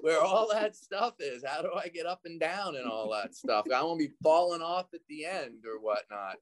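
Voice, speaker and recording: A man, a speaking educator, chatting as a podcast guest in a relaxed, agreeable way. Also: on the high side, moderate pace. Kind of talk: casual interview chat.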